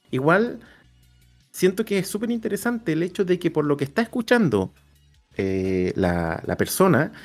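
A Chilean man hosts a casual interview in a somewhat high-pitched voice. He speaks colloquially, rephrasing himself and working through examples. Light music runs in the background. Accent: Chilean Spanish. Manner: colloquial, self-correcting, elaborates with examples. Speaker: interview show host.

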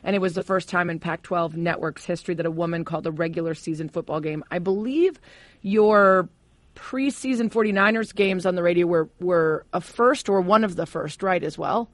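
Woman interview host, fairly low voice, chatty and encouraging, dry close sound. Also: normal speed, no echo. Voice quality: lower than it used to be after vocal cord surgery. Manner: chatty, affirming, asks long questions. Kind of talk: conversational interview.

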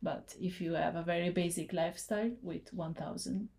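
A woman's voice: on the low side, fairly even intonation, moderate pace, creaky, darkish tone, slight background noise. A woman from Italy with an Italian accent, a podcast guest chatting in English, laying things out point by point. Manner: plain, listing things point by point. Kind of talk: casual interview chat.

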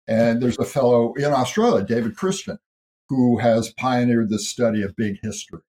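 Man, interview guest, earnest explanatory tone, thoughtful, middle-pitched voice. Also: moderate pace, dark tone, low-quality recording. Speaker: guest speaker on history and ancestry. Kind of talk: interview conversation.

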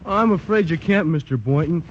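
An actor playing a slow-witted, ungrammatical high school athlete in an old radio comedy. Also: male voice, animated, slight background noise, natural voice. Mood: earnest. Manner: dim, halting, ungrammatical. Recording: dull old radio broadcast.

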